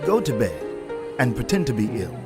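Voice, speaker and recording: An actor playing a shrewd, crafty royal adviser, sly and coaxing, in a dramatized audio Bible. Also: male voice, mid-range voice, wildly swooping pitch, normal speed. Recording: loud music under the voice.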